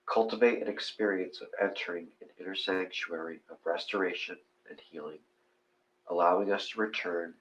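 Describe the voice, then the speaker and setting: A man reading a scripted guided meditation gently, in measured short phrases. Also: deep voice. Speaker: meditation reader. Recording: dull, lo-fi sound.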